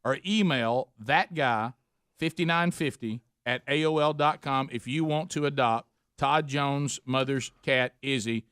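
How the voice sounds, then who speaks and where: A man's voice: medium pitch, measured pace, fairly bright tone, clear, resonant tone, no echo, clean studio sound. A man hosting a radio talk show in easy, chatty banter. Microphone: studio microphone.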